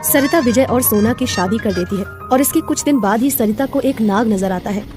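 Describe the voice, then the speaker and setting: A woman's voice: fairly high pitch, normal speed, darkish tone, soft background music. A woman narrating a scripted moral story as a storyteller.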